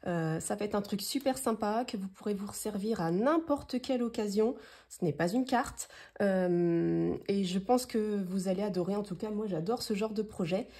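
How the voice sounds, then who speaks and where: A woman from France hosts a relaxed craft tutorial while nursing a head cold. Her voice is mellow and a little husky, and she rambles along with plenty of fillers as she works. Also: medium pitch, normal speed. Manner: chatty, rambling, lots of fillers. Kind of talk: casual hands-on craft tutorial.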